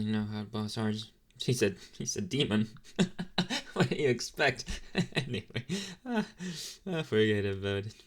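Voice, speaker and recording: A man's voice: medium pitch, close to an everyday voice. A man playing an inexperienced young male demon intern in an ASMR roleplay, chatting in a casual, hesitant, apologetic way straight to the listener. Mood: sheepish, apologetic. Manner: rambling, hesitant, casually chatty with slangy asides.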